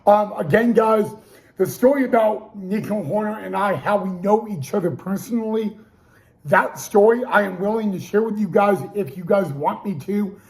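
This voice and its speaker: A man's voice: high voice, moderate pace, low-quality recording. A young man hosting a casual sports talk show, losing his voice and restarting often with stammered repeats. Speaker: podcast host.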